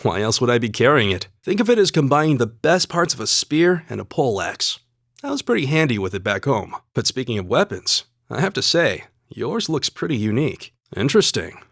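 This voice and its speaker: A man voicing a calm, courteous young spear fighter from the plains in a video game, speaking in an earnest, measured, polite way. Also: medium pitch, dry recording, clean studio sound. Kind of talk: scripted game dialogue and battle barks.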